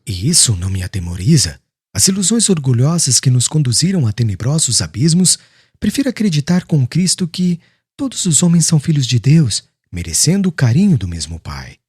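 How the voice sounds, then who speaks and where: A man's voice: medium pitch, very animated, brisk, a little mellow, slightly rough, no echo, clean studio sound. A man playing a serene, devout accused man who speaks humbly, solemnly and firmly in an audiobook. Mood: calm, firm. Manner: solemn, murmuring, preaching. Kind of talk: dramatised audiobook acting.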